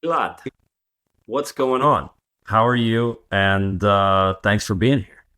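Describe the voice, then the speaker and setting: A man hosts an interview podcast with a fairly low voice. He asks his questions in a relaxed, chatty way, keeping things casual. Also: takes it slowly. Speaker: podcast host.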